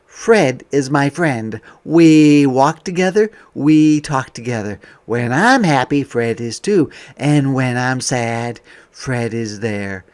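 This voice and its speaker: A man reading a picture book aloud to young children as a teacher, expressive, with softer conversational asides. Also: medium pitch, slow, hardly any pauses.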